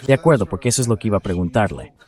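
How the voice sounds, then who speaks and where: Man, low voice, talk show host, political commentary, emphatic, sceptical, pointed questions. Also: animated, rapid-fire, darkish tone.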